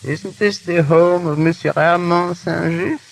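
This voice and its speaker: A man's voice: high voice, steady tone, unhurried, creaky, low-quality recording. An actor playing a meek old beggar woman in a 1930s radio drama, pleading in a quavering voice. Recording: hissy 1930s radio broadcast.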